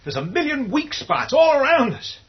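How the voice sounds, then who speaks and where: An actor playing a selfish, abrasive family man, raspy and complaining in agitation, in a horror radio play. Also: very high voice, sing-song, normal speed, heavily acted. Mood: panicky, impatient. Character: panicky, selfish, abrasive husband and father. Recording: dull, muffled audio.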